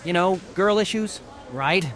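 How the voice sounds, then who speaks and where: A man voicing a conciliatory male pterodon high-school student who pleads and placates, acted for a visual novel fan dub. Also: measured pace. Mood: brushing off. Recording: loud music under the voice.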